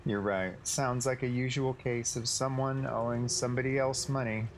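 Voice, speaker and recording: Calm young male adventurer, voiced by an actor. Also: mid-range voice, normal speed, a little hiss or hum, lightly acted. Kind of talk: anime video game voice acting.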